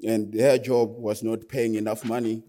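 A man in his twenties with a Zimbabwean accent, giving a personal testimony as a guest speaker in an earnest, halting way that often restarts phrases. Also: fairly low pitch, little pitch movement, dark tone. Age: 20s. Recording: long hall reverb.

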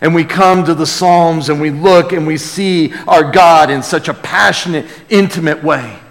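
A man's voice: a little high, moderate pace. A man preaching a sermon and reading scripture aloud with emphatic, reverent delivery. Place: large church hall before a congregation. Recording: reverberant large hall, amplified live sermon.

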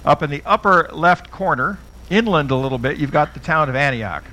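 A man's voice: a little high, animated, a little fast, pushed and bright, poor audio. Man teaching a Bible class. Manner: conversational, asks the audience questions.

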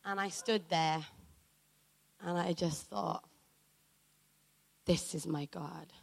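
A woman preacher from Wales telling a personal story in a sermon, animated and dramatic, acting out what people said. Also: on the low side, slow, creaky, no room sound.

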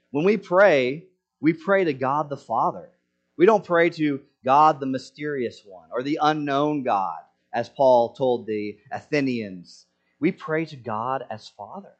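Man, pastor, sermon, earnest, rhetorical questions, middle pitch, dull lo-fi sound. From Senegal.

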